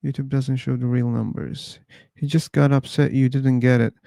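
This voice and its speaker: A man's voice: mid-range voice, little pitch movement, normal speed, vocal fry, warm tone, no echo, clean studio sound. A man hosting a live discussion stream in casual chat, easygoing, often laughing and restarting words.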